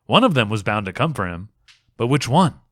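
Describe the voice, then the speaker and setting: A man reading a fantasy story aloud as dramatic audiobook narration, expressive and with deliberate pauses. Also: medium pitch, no room sound, studio quality. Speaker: amateur reader, a fan.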